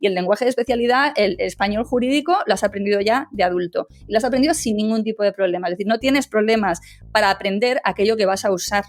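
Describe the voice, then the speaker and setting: A woman's voice: mid-range voice, fast, dry recording. A woman, a legal English teacher, giving emphatic, conversational interview answers full of tag questions.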